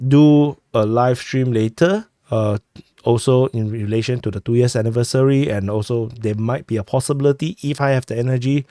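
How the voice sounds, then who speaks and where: A man who is sick, hosting a war update and walking through a map, talking haltingly with many fillers and restarts on a close mic. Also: mid-range voice, mellow, no echo. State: sick, clearing throat. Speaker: war news host.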